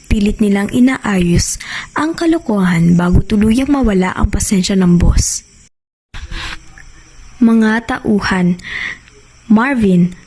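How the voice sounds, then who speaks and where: A woman's voice: medium pitch, mellow, poor audio. A woman with a Filipino accent reading scripted radio drama narration.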